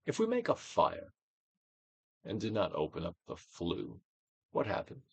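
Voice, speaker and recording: Man, mid-pitched voice, theatrical audiobook narrator, very animated intonation, deliberate guided-exercise delivery, dramatic emphasis. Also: gravelly, no room sound. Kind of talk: dramatic reading of a self-help book, guided exercise.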